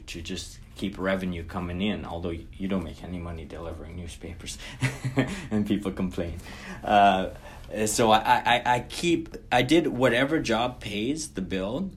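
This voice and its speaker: A man's voice: low voice, slight background noise. A man from Guyana, an interview guest telling his story in an earnest, casual way that livens up when he recounts events.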